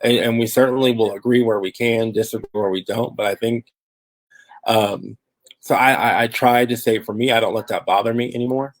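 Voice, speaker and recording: Brief: man from Phoenix, panel guest, lowish voice, dry close sound, rambling conversational delivery with lots of fillers. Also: steady tone, brisk, no room sound, poor audio. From Phoenix, Arizona. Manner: conversational, many fillers and restarts. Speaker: panelist, diversity and inclusion professional. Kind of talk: panel discussion.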